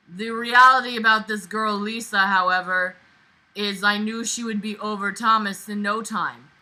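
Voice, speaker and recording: A woman reading aloud and commenting with exasperated, animated, emphatic reactions that swing dramatically in intensity. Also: medium pitch, moderate pace, poor audio.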